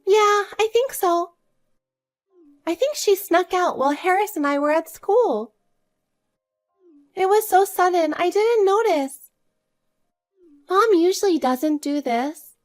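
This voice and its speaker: A woman's voice: very high voice, expressive, slow pace, pauses often, soft background music, voice pushed up, exaggerated voice. A woman voicing a frightened young granddaughter, plaintive and pleading, in an acted family story. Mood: worried, helpless.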